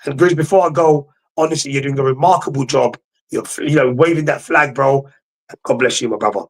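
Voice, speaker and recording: A man's voice: medium pitch, fairly quick. A young man, a guest pundit in a casual football debate, speaking with confidence and saying "bro" constantly. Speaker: guest pundit, podcast host.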